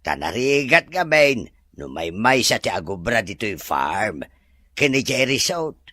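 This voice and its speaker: A man's voice: fairly low pitch, sing-song, a little slow, pushed and bright, dry recording, heavily acted. A man playing a sheepish older farmhand in an Ilocano audio drama, stammering deferentially with an Ilocano accent. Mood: earnest. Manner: stammering, interjection-heavy.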